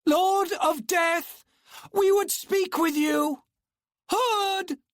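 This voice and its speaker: A man's voice: high-pitched, expressive, takes it slowly, dark tone, no echo, clean studio sound, voice pushed up, heavily acted. A man voicing a young female immortal twin who speaks with a smirking drawl. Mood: calling out. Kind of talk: audiobook character reading.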